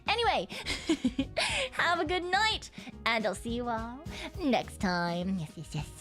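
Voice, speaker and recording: A chatty woman with a fairly high voice and a slight frog in her throat, rambling through a casual stream. She swears easily and laughs along between thoughts, with faint music playing under her. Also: animated, slow, a bit bright.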